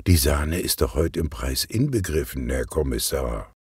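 Guy doing a friendly young waitress talking someone into a treat, kids audiobook, expressive. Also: very deep voice, moderate pace, breathy edge, no echo, clean studio sound, full character voice. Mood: coaxing. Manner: encouraging, coaxing. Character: cheerful, coaxing young waitress.

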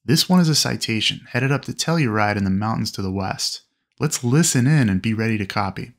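A man narrating instruction in a clear, explanatory way. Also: fairly low pitch, expressive, a little fast.

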